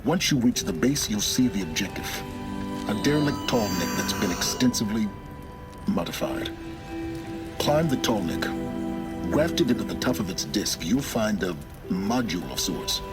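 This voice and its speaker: An actor playing a secretive, self-serving mentor in a video game, speaking with measured, precise and condescending authority. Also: male voice, fairly low pitch, lively intonation, loud music behind the voice, close to an everyday voice. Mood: cool, guarded. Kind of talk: dramatic video game acting.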